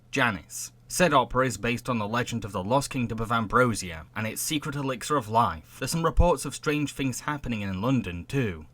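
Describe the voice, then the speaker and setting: A man's voice: medium pitch, a bit bright. A young adult man hosting a film discussion podcast, talking through plots and opinions. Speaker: podcast host. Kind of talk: film discussion, partly read-out plot summary.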